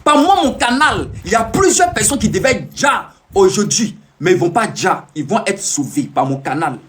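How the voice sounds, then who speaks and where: A man's voice: sits high, sing-song, normal speed. A man giving an emphatic, repetitive, preaching monologue on a live stream, answering viewers' comments as he talks. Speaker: self-proclaimed peace ambassador streaming live.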